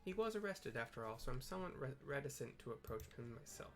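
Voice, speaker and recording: A man's voice: medium pitch, sing-song, quick pace, a bit bright, soft background music. A man voicing a flustered, self-important female scholar in a video game, stammering and chatty. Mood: dismissive, chatty.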